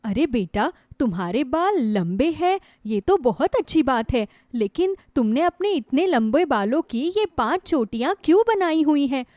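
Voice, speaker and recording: A woman's voice: sits high, sing-song, measured pace, few pauses, darkish tone, dry recording. A fussy middle-aged prospective mother-in-law in a cartoon moral tale, put on by a woman. She is courteous in form but judgmental in manner. The sound is dry and close. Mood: puzzled. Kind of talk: Hindi moral story cartoon acting.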